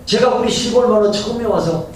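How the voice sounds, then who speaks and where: A man's voice: high voice, a little fast. A middle-aged man preaching a Sunday sermon as senior pastor, talking in a conversational way and putting questions to the congregation. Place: church sanctuary. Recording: very echoey church hall from far off, faint hiss.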